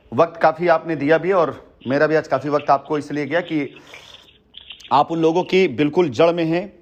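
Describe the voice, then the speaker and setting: A man talking on a phone call in long, persuasive stretches, earnest and emphatic, often repeating himself. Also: on the high side. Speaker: company head addressing a customer by phone. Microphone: telephone.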